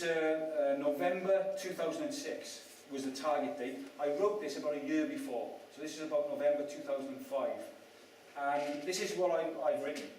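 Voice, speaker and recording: A man's voice: fairly high pitch, darkish tone, a little hiss or hum. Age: adult. Sex: man. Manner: chatty, self-mocking speaker. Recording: very echoey room, distant mic. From Wales. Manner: chatty, self-mocking, asks the audience questions. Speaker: speaker at a property event. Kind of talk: motivational talk to an audience.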